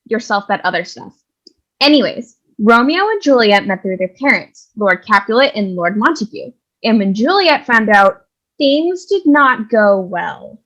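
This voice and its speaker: A woman's voice: a little high, a bit bright. A woman playing an irreverent storyteller with a flippant, dismissive manner, narrating in a comic play. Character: breezy, irreverent storyteller retelling a classic tale. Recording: slightly dull, lo-fi sound.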